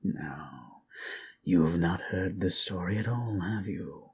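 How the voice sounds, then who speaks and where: A man voicing an ancient, powerful undead lich who tells brooding fantasy lore in a breathy ASMR roleplay, slow and ominous, often sinking to a whisper. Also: low voice, animated, darkish tone, slight background noise, exaggerated voice. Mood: hushed, ominous. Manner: slow, ominous, theatrical, with dramatic pauses.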